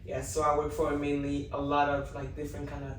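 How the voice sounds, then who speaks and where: A woman's voice: low voice, completely flat delivery, a little fast. A woman in her twenties from Ivory Coast and Benin, a native French speaker, chatting as a guest and giggling often. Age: 20s. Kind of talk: casual chat. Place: indoor room with a sofa. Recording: echoey room, distant mic, faint hiss.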